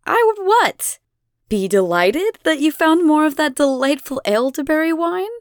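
Imperious goddess as a woman puts her on, drawling, mock-sweet, raised pitch, dry. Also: sing-song, a little slow, no room sound.

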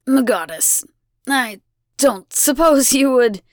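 Jittery, flattering tradesman, bouncy raised voice, dry close sound, played by a woman. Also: high-pitched, takes it slowly, no room sound, pitched above the performer's own voice. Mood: hesitant. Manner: obsequious, old-fashioned speech. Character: nervous, fawning middle-aged male brewer.

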